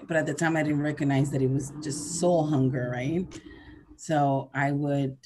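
Expressive woman in her forties, chatting casually. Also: low voice, moderate pace, slight background noise. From Venezuela, then New Jersey from age eleven. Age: 40s. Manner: expressive, repeats words, acts out quotes. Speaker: podcast guest, herbalist teacher. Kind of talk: casual conversational storytelling.

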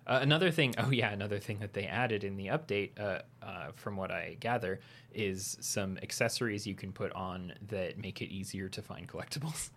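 A man's voice: on the low side, a little fast, fairly bright tone. A man co-hosting a casual podcast about video games, talking with frequent restarts and fillers and laughing easily. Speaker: podcast co-host.

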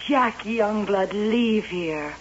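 An actress playing a beguiling wax-doll princess come to life in an old-time radio drama, measured and coaxing. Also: female voice, mid-range voice. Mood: coaxing, urging. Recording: muffled, hissy old radio recording.

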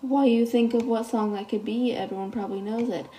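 A woman's voice: a little high, fairly even intonation, fast, warm tone. A teenage girl hosting a casual solo podcast, reading texts aloud in a chatty way while losing her voice. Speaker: podcast host.

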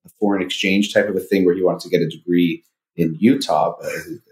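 A man's voice: sits low, steady tone, fairly quick, a little mellow, rough recording. A man in his forties from Southern California, a psychologist and podcast guest who first spoke Farsi, talking thoughtfully with many fillers. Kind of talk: casual podcast interview.

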